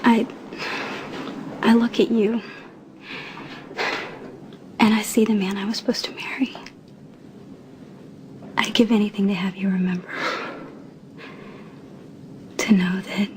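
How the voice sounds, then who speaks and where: Romantic drama female lead: woman playing a devoted fiancée, medium-pitched, breathy and pleading, under loud music. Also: close to an everyday voice. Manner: breathy, hushed, intimate delivery. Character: devoted, pleading young fiancée, tender and hopeful. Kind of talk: soap opera style romantic drama acting. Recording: loud music over the dialogue.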